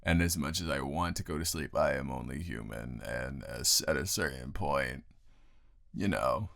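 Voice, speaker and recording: Male, very low-pitched with heavy fry, improvised boyfriend roleplay as a teasing, affectionate roommate; casual, halting delivery. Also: fairly bright tone, dry recording, clean studio sound. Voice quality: low, gravelly. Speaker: audio roleplay performer.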